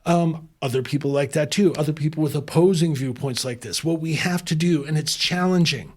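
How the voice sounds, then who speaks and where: A man's voice: fairly high pitch, fairly quick. A man guest in a conversational interview, speaking in an impassioned, emphatic way with frequent restarts. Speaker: interview guest, former chef turned humanitarian.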